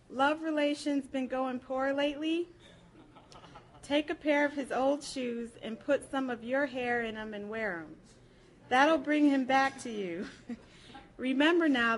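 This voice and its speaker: A woman's voice: sits high, poor audio. A woman reading folksy prose aloud at a live program, with a playful, colloquial storytelling delivery. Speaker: public reader at a library program.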